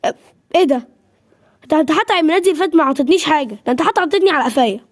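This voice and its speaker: A defiant teenage boy with an Egyptian accent, grumbling and whining through every complaint, as a woman puts him on. He talks fast in a fairly high voice. The sketch plays like a home-made family comedy. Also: lively intonation. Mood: whiny. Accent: Egyptian Arabic. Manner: whiny, complaining.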